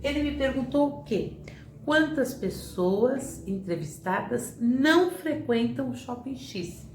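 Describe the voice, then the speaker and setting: A woman's voice: on the high side, expressive, slow, a little mellow. A woman teacher talks through sums step by step, asking questions and answering them herself. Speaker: math teacher. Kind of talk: classroom lesson at a board. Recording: echoey room, voice from a distance, clear background hiss.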